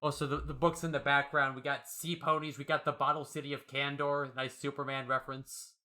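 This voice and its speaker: A man's voice: mid-range voice, flat delivery, a little fast, sharp tone. A man co-hosting a casual comic discussion, riffing playfully as he goes. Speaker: co-host. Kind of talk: casual comic commentary chat.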